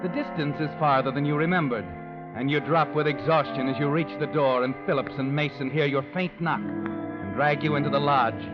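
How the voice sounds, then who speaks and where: An actor playing a sinister, all-knowing narrator in an old radio noir drama, ominous and insinuating. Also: male voice, a little high, moderate pace, lightly acted. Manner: ominous, insinuating second-person storytelling. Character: sinister all-knowing male narrator haunting a guilty man. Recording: dull old radio recording, music behind. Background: music.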